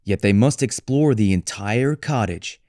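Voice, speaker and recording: A man reading a children's story as a storyteller, with deliberate, expressive delivery. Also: on the low side, no echo, studio quality. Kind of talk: scripted children's story narration for English learners.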